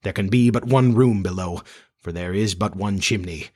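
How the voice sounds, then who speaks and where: A man voicing a brooding, vengeful pirate captain in a storybook reading, slow and dramatic in manner. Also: sits low, a little mellow, slightly rough. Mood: gloating, sly. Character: menacing, brooding pirate captain with a haunted dread.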